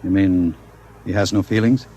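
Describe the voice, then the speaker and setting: An actor playing a smooth, manipulative criminal in an old-time radio drama, with a mock-polite, insinuating and sinister manner. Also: male voice, deep voice, fairly even intonation, normal speed, mellow, close to an everyday voice. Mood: commanding, sly. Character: smooth, scheming male crook, manipulative and sinister. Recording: hissy vintage radio recording.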